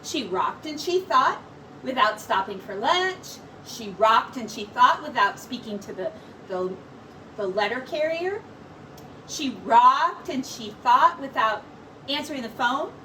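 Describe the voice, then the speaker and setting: A woman teacher reads a picture book aloud slowly, her voice bright and lively as she rises and dips through the story. She leans into each line with expressive storytelling. The mic sits a little away from her, with faint hiss behind. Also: a little high, recorded from a distance, rough recording.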